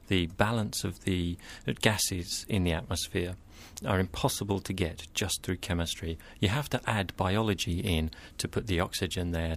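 A man as a scientist guest, explaining carefully and in a measured way in an interview. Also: deep voice, vocal fry.

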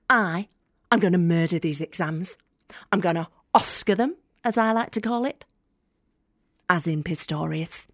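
An actress playing a defiant, bitter young student with a Northern English accent in an audio drama monologue, blunt and sweary. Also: female voice, mid-range voice, no room sound, studio quality, close to an everyday voice. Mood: defiant, determined. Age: young adult. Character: defiant, bitter young British woman student, sharp-tongued and sardonic.

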